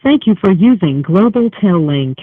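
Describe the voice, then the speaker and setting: A woman's voice: fairly low pitch, animated, normal speed, no room sound. A woman's automated phone system voice reading scripted call prompts over a tinny, narrow line.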